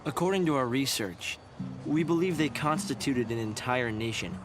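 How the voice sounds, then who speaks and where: An actor playing a thoughtful young research director in a video game, explaining things in a measured, earnest way. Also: male voice, medium pitch, expressive, lightly acted. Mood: calm, reflective. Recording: loud orchestral music behind. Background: game music.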